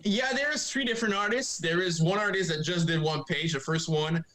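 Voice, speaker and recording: A young man from Quebec with a French-Canadian accent, speaking English as a second language, chatting haltingly in a remote podcast interview. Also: high voice, bright, forceful tone. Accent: Québécois French. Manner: halting, many fillers and restarts. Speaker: guest comic writer. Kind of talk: casual interview chat.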